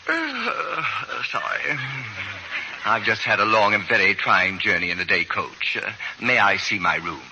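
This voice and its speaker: A supercilious genius from a 1940s radio comedy. His lowish voice is very sharp and forceful, sweeping through formal phrases with fussy, dry disdain. The vintage broadcast has faint noise. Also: very animated, unhurried, gravelly, exaggerated voice, rough recording. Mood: haughty disdain. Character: pompous, haughty self-declared genius, fussy male live-in sitter.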